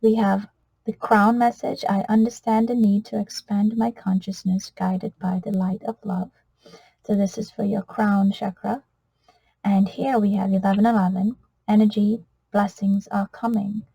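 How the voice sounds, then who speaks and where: A woman with a Trinidadian accent, giving a gentle spiritual card reading in an earnest, soft-spoken way that often drops to a whisper. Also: medium pitch, level tone, unhurried, creaky, breathy edge, poor audio. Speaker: oracle card reader.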